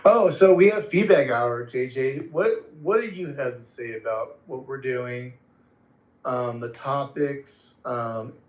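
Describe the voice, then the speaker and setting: Male moderator, medium pitch, casual and hesitant, dull echoey online audio. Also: takes it slowly. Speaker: host and moderator of a live group audio room.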